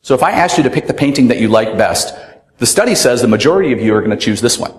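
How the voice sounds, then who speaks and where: A man with a very loud voice giving a conference talk, animated and rhetorical, often asking the audience "right?". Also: medium pitch, fast talker. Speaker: conference speaker. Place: large conference room. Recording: echoey hall with long reverb.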